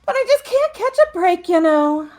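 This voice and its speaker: Woman doing a high, theatrical killer girl, cheerfully deranged. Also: very animated, moderate pace, darkish tone. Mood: gleeful.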